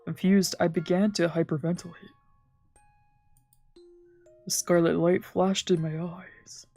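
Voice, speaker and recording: Woman, slightly low voice, expressive visual novel narration, dramatic storytelling, background music. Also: a little slow. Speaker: streamer reading a visual novel aloud. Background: game background music.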